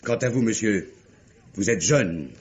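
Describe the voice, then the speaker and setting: An actor playing a betrayed, vengeful husband in a French radio melodrama, theatrical and sarcastic in delivery. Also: male voice, fairly low pitch, animated, measured pace. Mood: bitter sarcasm. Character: betrayed bourgeois husband, bitter, jealous, vengeful. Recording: dull old radio drama recording.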